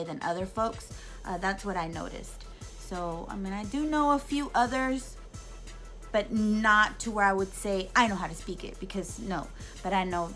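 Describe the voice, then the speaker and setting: A woman in her thirties chatting casually to her camera, answering viewer questions with lots of fillers, restarts and animated asides. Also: mid-range voice. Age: 30s. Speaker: YouTube vlogger.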